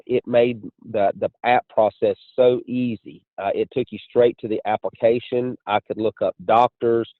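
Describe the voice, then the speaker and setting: A man giving a measured, explanatory testimonial as an insurance agent on a conference call. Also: fairly low pitch. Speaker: insurance agent and training director. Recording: muffled phone line with room echo.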